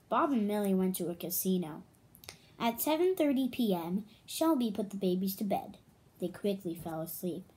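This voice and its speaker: A woman reading a children's story aloud, pausing to add her own comments and questions for listeners. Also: fairly high pitch.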